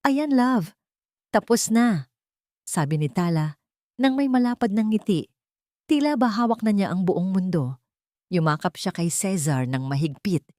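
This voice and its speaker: A woman giving scripted, steady narration of a dramatic story. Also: lowish voice, moderate pace, no room sound, clean studio sound.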